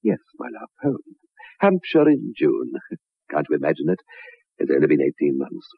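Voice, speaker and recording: An actor playing a suspicious, over-solicitous husband in a 1960s radio drama, coaxing and deliberate. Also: male voice, on the low side, wildly swooping pitch, creaky, slightly rough, exaggerated voice. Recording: muffled, narrow-band 1960s radio recording.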